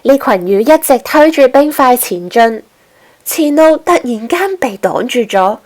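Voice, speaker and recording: A woman tells a scripted story for young children. Her voice is very high, and she reads it as a storyteller for little listeners. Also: lively intonation, unhurried, a little mellow.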